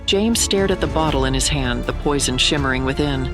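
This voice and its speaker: A narrator with a mid-pitched voice delivers dramatic, suspenseful scripted storytelling. Music is clearly heard under the voice. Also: brisk.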